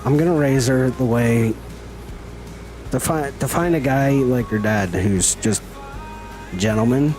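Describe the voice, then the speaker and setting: A man's voice: medium pitch, unhurried, long pauses, a little mellow, soft background music. A middle-aged man chatting casually with viewers as he streams a game, folksy and teasing. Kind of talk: casual live-stream chat while gaming.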